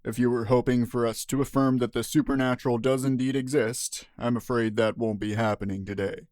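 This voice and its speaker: Police detective, audio drama, male actor: stern, formal, medium pitch, studio-dry sound. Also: fairly even intonation, darkish tone, no room sound, natural voice. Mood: dismissive. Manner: formal, measured, official. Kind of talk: audio drama news interview.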